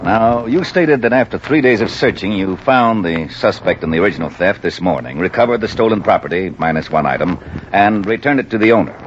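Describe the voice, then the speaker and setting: A man's voice: on the low side, lively intonation, brisk, lightly acted. An actor playing a methodical male homicide lieutenant questioning a witness in a 1950s radio drama. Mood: probing. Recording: dull lo-fi old radio broadcast with faint hiss.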